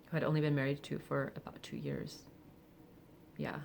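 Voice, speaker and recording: A woman of forty speaking candidly and reflectively in a personal vlog, with soft laughs and hesitant fillers. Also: deep voice, flat delivery, moderate pace, gravelly, slight background noise. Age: 40s. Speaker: vlogger. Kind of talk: personal confessional monologue.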